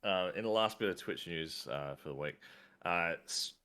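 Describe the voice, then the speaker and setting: Low-voiced male host, halting news read full of fillers. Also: sharp tone, clean tone. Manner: hesitant, many "uh" fillers. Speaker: podcast host reading news. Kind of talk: casual news roundup.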